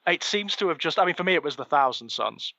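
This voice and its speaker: Male podcast co-host, fairly high bright voice, enthusiastic chat, dry slightly muffled recording. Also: animated, quick pace, gravelly, no echo. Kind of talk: casual chat.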